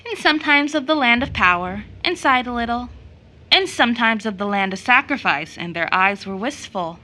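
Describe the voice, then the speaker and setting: A woman's voice: on the high side, animated, normal speed, bright, forceful tone, poor audio. A woman playing a tender, wistful mother figure, soothing and affectionate in an audio stage drama. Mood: storytelling.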